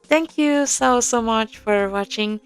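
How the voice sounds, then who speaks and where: A young woman talks slowly and unhurriedly, explaining a game in a casual walkthrough. She hesitates often, filling gaps with ums and small nervous laughs. Faint music sits behind her. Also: on the high side, clean tone. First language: Korean. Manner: hesitant, many fillers, soft nervous laughs. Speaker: audio game tutorial presenter.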